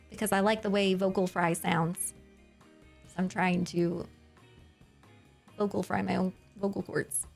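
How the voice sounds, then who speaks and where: A woman's voice: medium pitch, creaky. A woman streamer chatting casually with her viewers, giggly and rambling.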